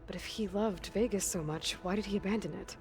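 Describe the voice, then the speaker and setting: A woman's voice: medium pitch, brisk, a little mellow, lightly acted. An actress playing an earnest, inquisitive young woman adventurer in a video game, asking questions in a matter-of-fact way. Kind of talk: video game cutscene dialogue. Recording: game music behind the voice. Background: music.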